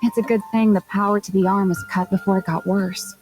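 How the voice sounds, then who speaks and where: A woman's voice: medium pitch, level tone, brisk, a little mellow, faint music behind, close to an everyday voice, rough recording. A woman playing a blunt, exasperated young mechanic in a video game, speaking in a dry, scolding manner. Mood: matter-of-fact.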